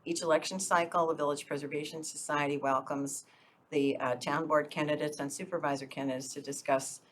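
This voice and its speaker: A woman's voice: sits low, flat delivery, brisk, a bit bright, a little hiss or hum. A woman moderating a local candidates debate, introducing the speakers in a friendly, informal way with some fillers.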